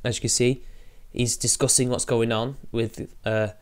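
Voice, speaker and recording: Casual male streamer, mid-pitched, a bit sniffly, rambling commentary to chat. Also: flat delivery, moderate pace, clear, resonant tone. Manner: rambling, talks to chat while fiddling with software. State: sniffly from allergies.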